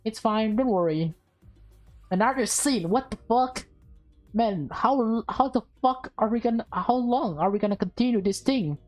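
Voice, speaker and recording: A woman's voice: on the high side, moderate pace, a little mellow, poor audio. Woman reading a visual novel, music behind. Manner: stumbles over words and rereads phrases. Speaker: gaming video host, reader. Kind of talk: reading a visual novel aloud with casual asides.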